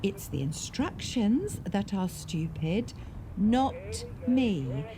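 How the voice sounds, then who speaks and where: A woman's voice: mid-range voice, very animated, drawn-out words, crowd noise. A woman playing an anxious, earnest support worker who stammers and talks to herself in a naturalistic audio drama. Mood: flustered. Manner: agitated, stammering, talking to herself. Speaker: lead actor in an audio drama. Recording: clear background noise.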